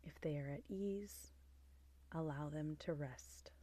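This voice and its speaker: Female meditation guide: mellow, unhurried, soothing delivery, soft near-whispered endings. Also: deep voice. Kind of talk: guided body scan meditation.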